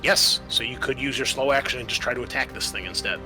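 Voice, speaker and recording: A man's voice: mid-range voice, quick pace, music in the background. A man acting as game master, casually narrating a tabletop roleplaying game and explaining rules in informal, sweary talk.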